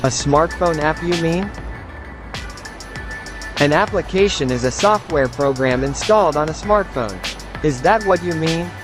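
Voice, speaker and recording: Kindly, measured male mentor, acted by a man, higher-pitched, audio-novel drama, music clearly behind. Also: animated. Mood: matter-of-fact. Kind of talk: scripted audio novel dialogue.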